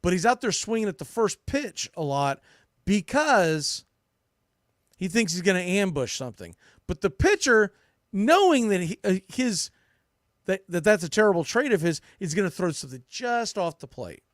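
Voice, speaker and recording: A man's voice: high voice, sing-song, moderate pace, no echo, studio quality. A man hosting a sports podcast, giving opinionated, unscripted baseball commentary in a blunt, emphatic way, often restarting and repeating phrases.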